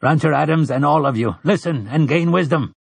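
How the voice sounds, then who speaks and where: A man's voice: a little high, brisk, gravelly, breathy edge, no echo, voice pushed up. A man voicing a stern old priest in an audiobook, commanding and oratorical, with rustic, archaic turns of phrase. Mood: commanding, assured. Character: stern, weathered old priest rallying frightened villagers. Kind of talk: audiobook character dialogue.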